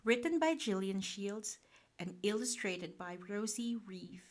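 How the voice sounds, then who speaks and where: A woman reading a children's bedtime picture book aloud in a gentle, expressive, deliberate manner. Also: mid-range voice. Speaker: storyteller.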